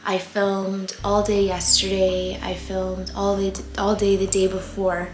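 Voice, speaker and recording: A tired young woman with a voice going hoarse talks casually through her plant setup, rambling and filling gaps with likes and ums. Her mid-pitched voice rings a little in a roomy space. She sounds worn out. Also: completely flat delivery, unhurried, noisy background. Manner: chatty, rambling with fillers. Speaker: plant YouTuber. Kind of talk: casual vlog talk. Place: warm home plant room. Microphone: camera mic with ring light.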